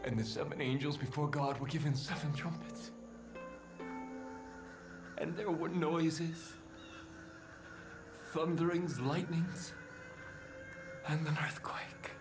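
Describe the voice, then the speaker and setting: An actor playing a righteous doomsday cult prophet in a video game, preaching in a solemn, deliberate, fervent way. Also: male voice, a little high, steady tone, reverberant, music in the background. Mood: solemn prophecy. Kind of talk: dramatic sermon-like video game acting.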